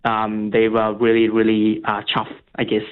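A man's voice: lowish voice, monotone, clean tone. Young man on a tinny phone line. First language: Vietnamese. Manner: halting, many fillers and restarts. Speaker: guest. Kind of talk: radio interview.

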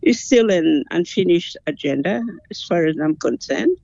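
A gravelly, mellow voice, heard on a dull, muffled, lo-fi recording. The speaker talks deliberately, stopping mid-sentence to choose words. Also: mid-range voice, expressive, no echo. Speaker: interviewed commentator. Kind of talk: interview remarks.

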